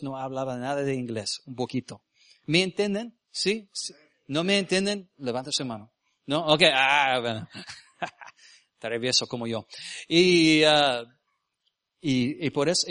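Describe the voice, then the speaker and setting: American man preaching in halting Spanish. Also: medium pitch, a bit bright, no echo, clean studio sound. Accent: American English. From Venezuela. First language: English. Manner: halting, searches for words, asks the audience. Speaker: missionary preacher. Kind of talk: sermon with personal stories. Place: church.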